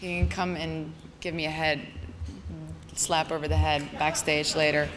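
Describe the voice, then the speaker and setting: A woman's voice: sits low, level tone, unhurried. A woman awards presenter reading scripted citations aloud to a formal gathering. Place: large hall at awards ceremony. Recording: echoey hall PA, background noise.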